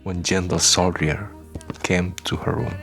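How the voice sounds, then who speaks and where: Slow, very deep-voiced male narrator. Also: fairly even intonation, a bit bright, faint music behind. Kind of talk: scripted radio drama narration.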